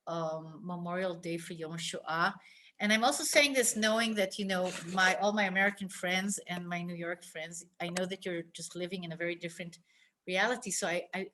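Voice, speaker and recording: An older woman from Israel giving an informal, personal lesson, speaking reflectively and pausing often mid-phrase. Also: fairly low pitch, a bit bright. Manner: reflective, halting delivery with pauses mid-phrase. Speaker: teacher, scholar.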